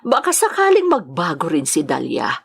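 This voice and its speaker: An actress playing a gentle, troubled older mother-in-law in an audio drama, resigned and conciliatory. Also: sits low, wildly swooping pitch, moderate pace, gravelly, breathy edge, full character voice. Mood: sorrowful, conciliatory. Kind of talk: Tagalog radio-style drama acting.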